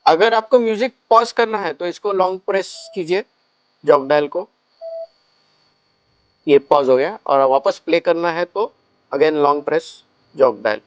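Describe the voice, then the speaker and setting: A man's voice: fairly high pitch, a little slow, pauses often, smooth, no echo, muffled recording. A man gives a casual step-by-step product tutorial, explaining things and mixing in English phrases. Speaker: product reviewer and shop presenter.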